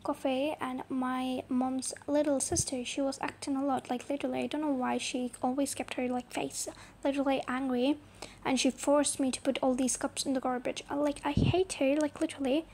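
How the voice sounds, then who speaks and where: A woman's voice: sits high, flat delivery, normal speed, darkish tone, slight background noise. A young woman chatting casually as a channel host, telling a roleplay story with lots of filler words. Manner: chatty, heavy use of like and you know.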